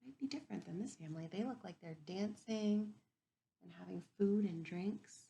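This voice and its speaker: A woman reading a picture book aloud to young children as a teacher, expressively, pausing to wonder aloud and ask them questions. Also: medium pitch, creaky, a little hiss or hum. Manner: expressive, wondering aloud, inviting listeners to reflect.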